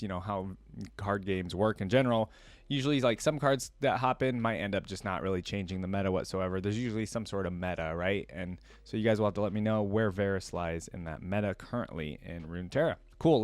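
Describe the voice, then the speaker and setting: A man's voice: lowish voice, no room sound. A young man hosting a reaction video, commenting casually and musing aloud as he goes. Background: faint music.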